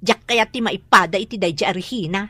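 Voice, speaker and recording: An actress playing a worried, complaining wife in a radio drama, with an Ilocano accent. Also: female voice, medium pitch, fairly quick, lightly acted. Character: worried, complaining wife short of rent money.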